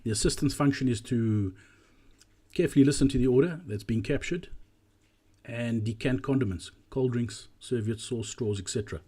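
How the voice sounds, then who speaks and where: A man narrating a walkthrough presentation in a measured, explanatory way. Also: mid-range voice, dark tone.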